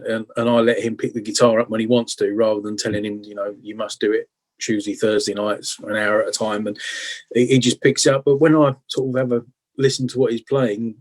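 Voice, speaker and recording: A middle-aged man chatting casually in a low-key, hesitant way as an interview guest. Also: level tone, brisk. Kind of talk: casual chat.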